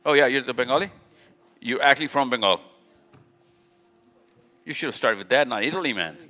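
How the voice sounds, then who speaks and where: A man's voice: mid-range voice, animated, fairly quick, pushed and bright. A man speaks live to an audience, direct and teasing, often repeating himself. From Pakistan. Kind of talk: live talk with audience interaction. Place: large event hall. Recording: long hall reverb at a live event.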